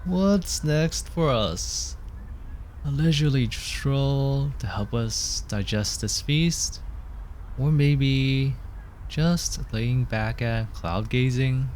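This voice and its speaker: A man's voice: mid-range voice, very slow, a little mellow, a little hiss or hum. A man playing an affectionate, playful boyfriend in a scripted ASMR roleplay, speaking with whimsical mock grandeur. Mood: playful, tender. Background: park ambience sound effects.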